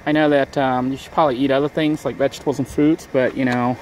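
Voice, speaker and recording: A man's voice: mid-range voice, fast, slight background noise, rough recording. A man vlogging outdoors beside a van, talking casually through his cooking with lots of fillers. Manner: relaxed, rambling with many fillers. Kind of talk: casual how-to narration while cooking.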